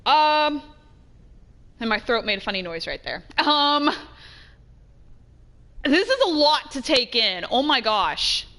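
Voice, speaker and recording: Bright and high, a woman talks over faint game music as a let's play host. Her commentary is casual and excitable, often doubling up phrases for emphasis. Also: takes it slowly, long pauses. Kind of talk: casual gameplay reaction commentary.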